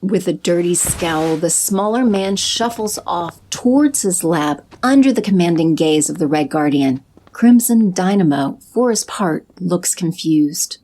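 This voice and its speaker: A woman reads scripted audio drama narration in an even, descriptive manner. Her voice is low and dark in tone. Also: a little slow, few pauses, a little hiss or hum, rough recording.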